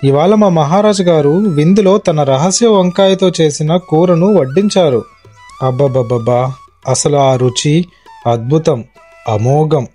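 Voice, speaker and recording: A man playing a witty, wily court poet in an animated moral story, exclaiming and persuasive in a colloquial way. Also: on the high side, a little slow, soft background music. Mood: delighted. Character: witty, wily adult male court poet, husband and father.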